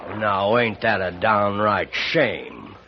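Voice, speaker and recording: An actor playing a bitter, greedy older rancher with an American accent and a folksy, needling drawl in a radio western. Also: male voice, lowish voice, big pitch swings, takes it slowly. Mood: bitter, vengeful. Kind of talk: old-time radio western acting. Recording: muffled, noisy old radio recording.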